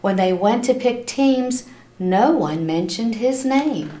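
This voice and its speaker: A woman reading a picture book aloud to children in an expressive, animated storytelling style, stopping to address her listeners. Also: fairly high pitch. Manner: animated, acts out characters' lines, pauses to ask listeners questions. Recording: roomy, echoing room sound.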